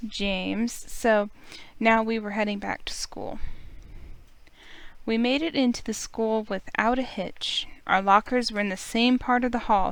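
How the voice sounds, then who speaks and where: Female playthrough host narrating a visual novel: clear, smooth voice, close and dry. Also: medium pitch, no room sound. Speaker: host reading a game playthrough. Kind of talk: expressive story narration read aloud.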